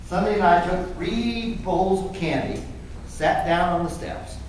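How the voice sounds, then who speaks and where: A man's voice: high voice, a little slow. A man playing a wry, exasperated cat owner, telling a comic first-person story theatrically in a stage read-through. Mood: wry narrating. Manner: animated, expressive delivery. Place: indoor theater stage, recorded from afar. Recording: echoey theater, distant mic, faint noise.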